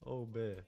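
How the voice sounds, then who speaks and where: A young man streamer chatting casually to his live chat in slangy, laid-back talk while opening trading cards. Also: warm tone. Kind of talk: casual livestream card-opening commentary.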